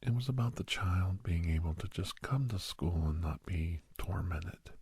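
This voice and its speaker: A man playing a calm, earnest doctor counseling a young werewolf in an ASMR role-play, speaking in a hushed, breathy, often whispered voice with a slow, reassuring manner. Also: deep voice, dry recording, heavily acted.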